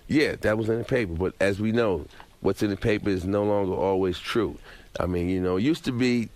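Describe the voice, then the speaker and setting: A man's voice: lowish voice, brisk, darkish tone. A middle-aged man chatting casually as an interview guest, in a colloquial, streetwise way, often tacking on "man" and "you know". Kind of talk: casual radio interview chat.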